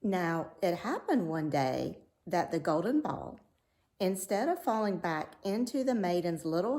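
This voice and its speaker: A woman reading a fairy tale aloud as a storyteller, with measured, dramatic delivery. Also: fairly low pitch, a little mellow.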